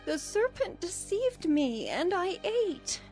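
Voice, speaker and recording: A woman's voice: very high voice, sing-song, takes it slowly, darkish tone, soft background music, heavily acted. An actress playing an earnest, innocent first woman in a dramatized audio Bible, speaking expressively. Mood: distressed.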